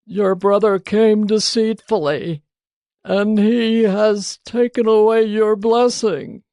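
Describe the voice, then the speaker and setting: A frail old patriarch acted by a man speaks from a dramatised scripture. His voice is high and mellow, and his delivery is slow, solemn and declamatory, close and dry. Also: steady tone, clear, resonant tone, dry recording. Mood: grave, sorrowful. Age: older.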